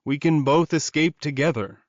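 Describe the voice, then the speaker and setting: A man's voice: a little high, moderate pace, no echo, highs cut off, voice pushed up, close to an everyday voice. Man voicing a weary, grumbling captive male werewolf. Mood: earnest, pleading. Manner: grumbling, groaning, pleading.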